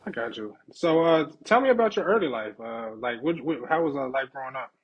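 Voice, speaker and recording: A man's voice: medium pitch, animated, a little fast, slightly rough, highs cut off. A man hosting a podcast, casually putting short, colloquial questions and acknowledgements to his guest. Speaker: podcast host. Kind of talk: casual interviewing.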